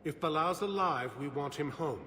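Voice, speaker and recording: A forceful, slightly high-voiced ambassador, a worried father pleading in formal, grave game dialogue. The sound is roomy and reverberant. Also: moderate pace, a little hiss or hum. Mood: grieving, formal. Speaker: voice actor in a video game. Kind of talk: dramatic video game dialogue. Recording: roomy reverberant sound, faint background ambience.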